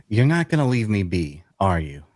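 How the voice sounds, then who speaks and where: Guarded, grumpy male wanderer played by a man, unhurried and dry with wide pitch movement, close dry sound, fantasy audio drama. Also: very animated, dry recording. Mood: weary, reluctant. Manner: dry, curt, hesitant. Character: guarded, grumpy, evasive loner male traveler. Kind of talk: dark fantasy audio drama table read.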